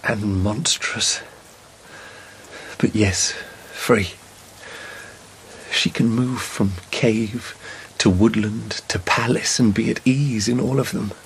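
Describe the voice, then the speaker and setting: A man acting in a radio drama as a troubled, fevered young emperor, wistful and formal, speaking in a hushed, measured, weary way. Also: on the low side, fairly even intonation, a little hiss or hum, rough recording.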